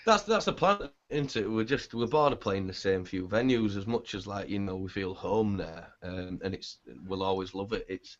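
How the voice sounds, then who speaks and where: Male singer, low scratchy voice, relaxed rambling chat, dull lo-fi recording. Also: normal speed, hardly any pauses. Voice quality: scratchy, raspy voice. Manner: laid-back, rambling, swears casually. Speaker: band singer. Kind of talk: casual interview chat. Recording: dull lo-fi sound.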